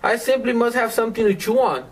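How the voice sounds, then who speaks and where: A man's voice: extremely high pitch, fast, slight background noise, pitched above the performer's own voice. A man voicing a pet dog who begs for a bone in a sing-song, beseeching way, as in a storybook. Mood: pleading.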